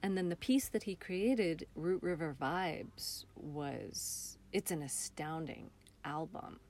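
A woman's voice: lowish voice, slow, a little mellow, a little hiss or hum. A woman talking casually and expressively as an interview guest, a playwright and director describing things with enthusiasm. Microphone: computer call, also recorded on her phone.

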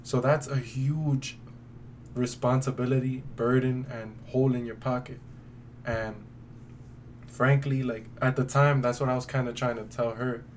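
A man's voice: mid-range voice, flat delivery, measured pace. A man chatting casually as a podcast co-host, speaking in an informal, emphatic, colloquial way. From New York City. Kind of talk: casual conversational podcast chat. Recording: distant mic, faint background noise.

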